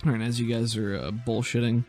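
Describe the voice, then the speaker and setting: A man's voice: medium pitch, little pitch movement, a little fast, a little mellow, soft background music. A man working as game master, narrating a tabletop role-playing game in a casual, improvised way.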